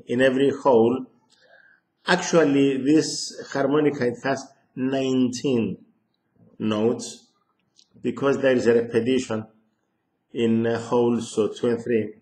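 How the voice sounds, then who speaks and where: A man in his sixties with a Greek accent, teaching harmonica to a webcam in a slow, hesitant way with many pauses and restarts. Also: mid-range voice, darkish tone, roomy sound. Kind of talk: casual lesson to camera. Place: small room indoors. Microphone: webcam at close range.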